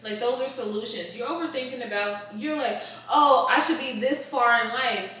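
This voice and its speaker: A woman's voice: fairly high pitch, normal speed, pushed and bright. A woman in her late twenties in a casual advice chat, blunt and emphatic, with informal slangy wording. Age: late 20s. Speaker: podcast host. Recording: roomy echo, distant mic, faint noise.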